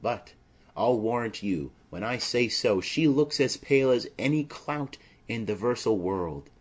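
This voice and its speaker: Audiobook drama: fussy, rambling older servant woman, indignant and exclaiming, slightly husky, unhurried delivery man performs. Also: mid-range voice, expressive. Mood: chatty, fussy. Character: fussy, chattering older female nurse servant.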